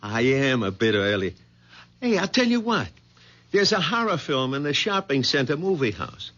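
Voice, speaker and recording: A man's voice: medium pitch, sing-song, normal speed, fairly bright tone. An actor in a 1970s radio drama playing a pushy working-class family man, talking bluntly and colloquially. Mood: brash, insistent. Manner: colloquial, blunt, folksy double negatives. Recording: dull vintage radio recording.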